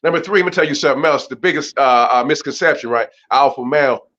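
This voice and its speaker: A man with a deep voice who talks emphatically and preachily as an interview guest, often tagging his points with "right?". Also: quick pace, sharp tone, lo-fi sound. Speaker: guest, boxer and community mentor. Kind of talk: casual interview talk.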